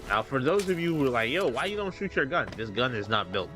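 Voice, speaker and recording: Slangy, animated male gamer. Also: medium pitch, fast talker, soft background music. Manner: loose, slangy banter. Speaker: gaming streamer. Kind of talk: casual gameplay commentary.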